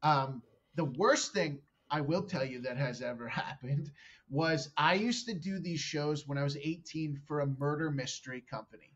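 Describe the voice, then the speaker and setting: A man's voice: fairly high pitch, normal speed, a bit bright, husky, lo-fi sound. A man in his thirties, a comedian guest telling a long story on a podcast, rambling and swearing and cutting himself off mid-sentence. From Canada. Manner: rambling, profane, self-interrupting storyteller.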